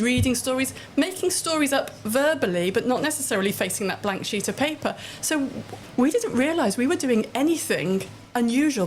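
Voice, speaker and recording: A woman's voice: a little high, slight background noise. A middle-aged woman giving articulate, anecdotal answers as a guest in a live interview. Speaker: interview guest, children's storytelling project leader.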